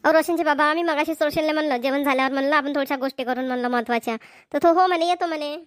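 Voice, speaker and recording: A woman's voice: high-pitched, very fast, no room sound, clean studio sound, lightly acted. A woman voicing a plain-spoken middle-aged village mother in a family cartoon, with a Varhadi Marathi accent. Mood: homely. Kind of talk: cartoon family comedy voice acting.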